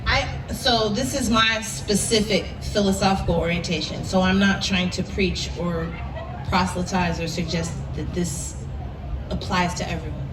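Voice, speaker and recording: A woman speaking as a guest poet in a live interview, reflective and emphatic with deliberate phrasing. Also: lowish voice, steady tone, over music. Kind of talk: live on-stage interview, conversational. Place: live event space with audience. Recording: echoey, noisy room, distant mic.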